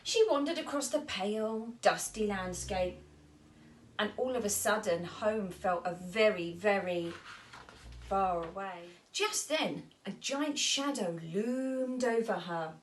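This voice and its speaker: A woman's voice: medium pitch, takes it slowly, slight background noise. A woman storyteller reading a children's story aloud expressively.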